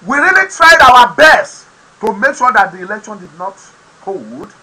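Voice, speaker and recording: Forceful Nigerian man preaching politics. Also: sits high, sing-song, moderate pace, husky, low-quality recording. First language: Yoruba. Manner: emphatic, preaching tone with sudden loud bursts. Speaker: host of an online talk program. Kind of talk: impassioned political commentary to camera.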